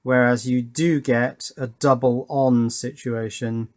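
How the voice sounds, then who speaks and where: A man's voice: mid-range voice, fairly even intonation, slow, darkish tone. A man giving a casual, unscripted hands-on demonstration as an electronics hobbyist, thinking aloud and hesitating as he goes.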